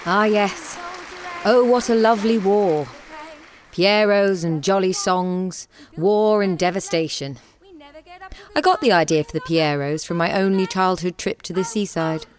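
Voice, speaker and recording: A woman's voice: mid-range voice, a little slow, close to an everyday voice. Woman playing a commanding, blunt theatre director. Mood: reflective. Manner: forthright, commanding, impatient. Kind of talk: radio play acting.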